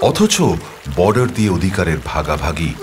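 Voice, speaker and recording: A man's voice: deep voice, lively intonation, normal speed. A man narrating an audio story as a wistful young man writing a confessional love letter, speaking in a reflective, intimate way over music. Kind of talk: first-person dramatized storytelling, read as a letter.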